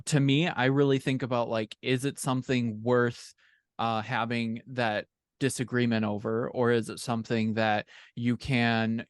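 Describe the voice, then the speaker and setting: A man's voice: medium pitch, dry recording. A man talking conversationally as a guest in a podcast interview, explaining things in an informal, thoughtful way. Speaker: podcast guest, fiction co-author.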